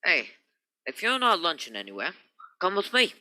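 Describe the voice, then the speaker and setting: A woman acting a hearty, sociable young gentleman, an old school friend, in a radio play. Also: lowish voice, wildly swooping pitch, moderate pace, no room sound, heavily acted, low-quality recording. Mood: hearty, jovial.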